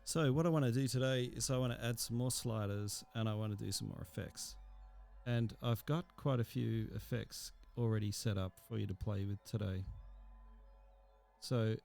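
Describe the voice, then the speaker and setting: A man narrating a software tutorial in a casual, explanatory and somewhat hesitant way. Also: fairly low pitch, normal speed, gravelly, faint music behind.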